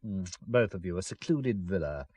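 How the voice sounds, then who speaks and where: A man's voice: low voice, expressive, moderate pace, mellow, breathy edge, no room sound. An actor playing a secretive old-boy British spy handler in a thriller audio drama, genteel, hesitant and conspiratorial. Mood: smoothly insistent.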